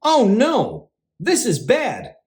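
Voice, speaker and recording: A man voicing an anxious, excitable squirrel in a children's storybook, fretful and given to dramatic exclamations. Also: very high-pitched, extremely slow, full character voice. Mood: alarmed. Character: anxious, excitable squirrel fretting over stolen leaves. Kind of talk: children's picture book read-aloud.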